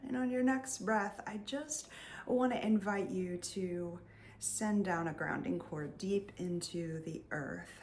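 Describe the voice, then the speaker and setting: A woman leading a guided meditation in a soft, soothing voice, leaving long pauses and sometimes trailing near a whisper. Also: mid-range voice, a little hiss or hum. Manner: soothing, unhurried, with long pauses between phrases. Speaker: meditation guide and energy healer.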